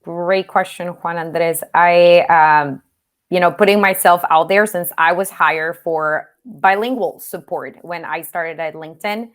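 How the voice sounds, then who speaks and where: A woman's voice: lowish voice, fairly even intonation, measured pace. A woman from Mexico whose first language is Spanish, speaking English with a Mexican accent as an interview guest, earnest and reflective with frequent "you know" fillers. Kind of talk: virtual Q&A interview.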